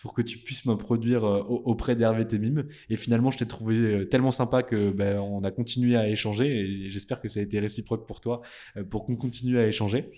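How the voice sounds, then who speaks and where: Man, interview host, fairly low voice, mellow, friendly, informal. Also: steady tone, fast talker. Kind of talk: conversational interview.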